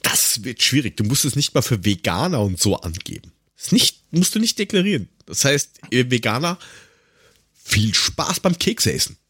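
A man co-hosting a podcast in casual, joking chat, rambling and haltingly searching for words with a dry sarcastic streak. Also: mid-range voice, sing-song, a bit bright, slightly rough, no room sound. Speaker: podcast co-host.

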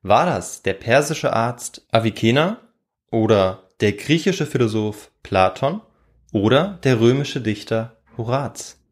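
A man with a mid-pitched voice hosts a history podcast. He explains and narrates in a semi-scripted storytelling style, recorded indoors. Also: animated, a little slow. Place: warm indoor room.

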